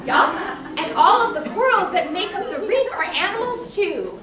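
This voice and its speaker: An encouraging guide played by a woman explains things to children in a high, lively voice. She sounds distant in an echoey hall with a crowd. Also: moderate pace. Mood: encouraging, explaining. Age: young adult. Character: encouraging, knowledgeable teacher-guide to the ocean. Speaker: puppet show guide. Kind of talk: scripted children's educational show.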